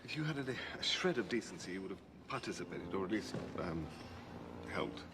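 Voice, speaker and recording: A hesitant, bookish middle-aged man speaks formally and haltingly in a lowish voice. The TV drama audio has clear noise. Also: very animated. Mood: exasperated. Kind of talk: TV drama acting. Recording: noisy TV show audio.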